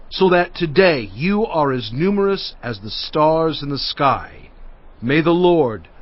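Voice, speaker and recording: An actor playing Moses as a fatherly, earnest leader addressing his people with oratorical weight in dramatized scripture narration. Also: fairly high pitch. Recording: dull sound with music underneath. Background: music.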